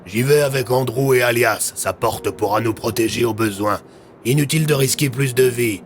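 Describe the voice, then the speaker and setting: A man voicing a gruff, decisive member of an adventure squad in an audio fiction, with a firm manner. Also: medium pitch, moderate pace, a little hiss or hum, natural voice.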